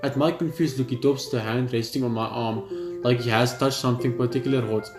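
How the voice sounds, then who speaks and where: A man's voice: mid-range voice, fairly even intonation, a little fast. Man reading game text in a roomy echo. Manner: stumbles over the text and rereads it. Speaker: gamer reading a visual novel aloud. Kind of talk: let's play read-aloud narration with asides. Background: video game music.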